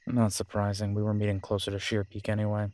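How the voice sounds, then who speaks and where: A man's voice: on the low side, completely flat delivery, fast talker, darkish tone, no room sound, natural voice. Man playing an easygoing, teasing werewolf biker. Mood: relaxed, wry. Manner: casual, informal, joking. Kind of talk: scripted audio roleplay drama.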